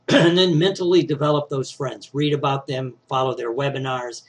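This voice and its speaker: Man with a scratchy, throat-clearing voice. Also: mid-range voice, fairly even intonation, moderate pace. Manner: conversational, frequent "right?" and "you know" tags. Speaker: psychologist teaching success skills. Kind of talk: casual motivational talk.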